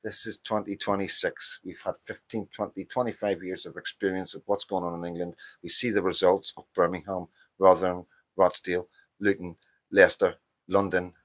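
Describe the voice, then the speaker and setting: A man's voice: sits low, level tone, moderate pace. Ranting Northern Irish man in his fifties. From Northern Ireland. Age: 50s. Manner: impassioned, ranting, profane, repeats himself. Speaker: livestream host. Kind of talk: casual political monologue.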